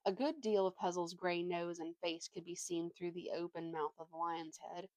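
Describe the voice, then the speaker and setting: A woman reading a story aloud with expressive narration and an informal manner, now and then stumbling over a word. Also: on the low side, moderate pace, dry recording. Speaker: storybook reader.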